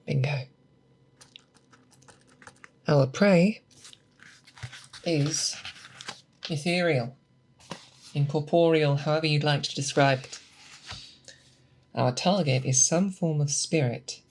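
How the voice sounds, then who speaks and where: A woman playing a seasoned, patient monster hunter who teaches an apprentice in a soft, deliberate, questioning way, in a close-miked ASMR roleplay. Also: deep voice, slight background noise, rough recording. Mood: confident, instructive. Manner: deliberate, instructive, questioning, thoughtful pauses. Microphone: close binaural microphone.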